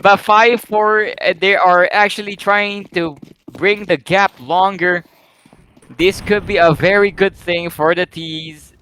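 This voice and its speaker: A man with a slightly croaky voice, calling live game action in a rambling, excitable play-by-play. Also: high-pitched, slow, a little hiss or hum. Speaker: esports caster.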